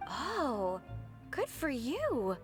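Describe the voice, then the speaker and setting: An aloof, sardonic young woman drawls at a slow pace in a fairly high voice. The pitch slides widely in the style of anime game acting, with music underneath. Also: wildly swooping pitch, full character voice. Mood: bored, languid. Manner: languid, sighing, dry.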